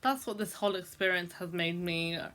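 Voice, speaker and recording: A woman's voice: on the low side, moderate pace, a bit bright. A young adult woman who stammers, talking reflectively to camera in a personal vlog, with frequent fillers and repeated sounds. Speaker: vlogger.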